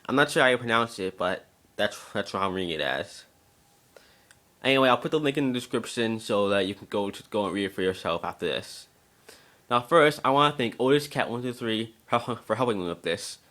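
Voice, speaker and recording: Guy with a slightly low voice narrating a storybook tale, amateur read, trips on words a bit. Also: expressive, fairly quick, bright, forceful tone. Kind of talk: amateur dramatic story reading.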